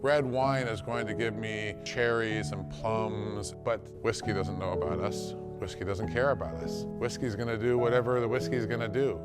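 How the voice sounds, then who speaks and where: A man from Portland, Oregon, with an American accent, talking about making whiskey in a documentary interview, thoughtful and enthusiastic. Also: medium pitch. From Portland, Oregon, USA. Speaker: distillery's first employee, whiskey maker. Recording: interview with clear music underneath.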